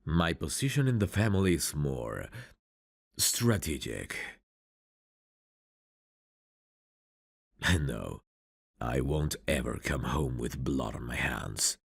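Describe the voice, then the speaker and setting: A man acting a courteous, tender mafia bridegroom in an intimate ASMR romance roleplay, soft-spoken and flirtatious with a husky, gravelly voice. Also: a bass voice, animated, takes it slowly, dry recording, clean studio sound, exaggerated voice. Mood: calm, courteous.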